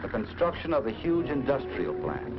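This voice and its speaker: A man's voice: medium pitch, very animated. Dramatic American male narrator, widely swooping intonation. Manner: dramatic, measured short phrases. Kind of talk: 1950s educational film narration, scripted. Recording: dull vintage film soundtrack.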